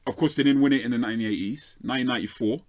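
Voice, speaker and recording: A South London man holding forth on football in a quick, animated rush. He argues his case hard and piles up point after point. His voice sits at an ordinary middle pitch. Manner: animated, argumentative, rapid-fire. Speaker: football pundit. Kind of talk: lively football debate.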